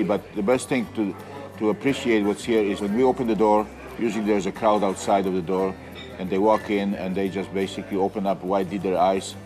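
A man in his sixties from Poland, a native Polish speaker with a Polish accent, answering interview questions in hesitant phrases. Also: lowish voice. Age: 60s. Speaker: innkeeper being interviewed. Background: music.

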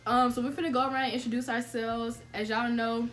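A young woman from Tennessee hosting a relaxed group chat with friends, laughing easily and using slang. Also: a little high, brisk, a little hiss or hum. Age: young adult. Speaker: host. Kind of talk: casual chat. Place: dorm room with string lights.

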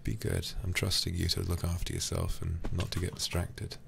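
A man with an extremely deep, creaky voice murmurs close to the microphone as he chats on a game stream. He is affectionate and drowsy, often slipping into an intimate whisper. There is clear background noise behind him. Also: level tone, moderate pace. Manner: affectionate, doting toward viewers. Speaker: livestreamer. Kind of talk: soft ASMR game-stream chat.

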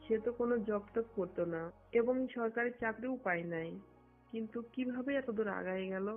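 A woman's voice: on the high side, brisk. A woman from Bangladesh talking in a private phone conversation. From Satkhira, Bangladesh. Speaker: phone caller, government employee. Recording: muffled lo-fi phone recording with music.

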